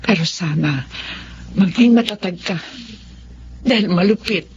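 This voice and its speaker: A woman's voice: lowish voice, measured pace, a little mellow, natural voice. A woman acting a supportive, caring older mother in a Tagalog radio drama. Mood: caring, advising. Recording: muffled audio with faint noise.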